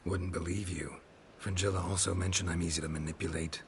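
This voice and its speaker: An actor voicing a gruff, sardonic male monster hunter in a video game, terse and deadpan in manner. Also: deep voice, a little fast, a little hiss or hum. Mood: dry, skeptical. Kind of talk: video game dialogue acting.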